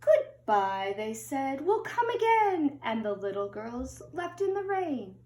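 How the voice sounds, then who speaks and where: A middle-aged woman reading a picture book aloud to young children in an expressive singsong way, recorded in a room at home. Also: high-pitched, a little hiss or hum. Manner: slow, deliberate, singsong storytelling addressed to children. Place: indoors upstairs at home. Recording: roomy home recording with echo.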